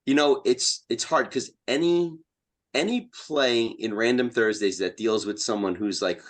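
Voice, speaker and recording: A man speaking casually as an interview guest, in a halting, self-effacing way. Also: medium pitch.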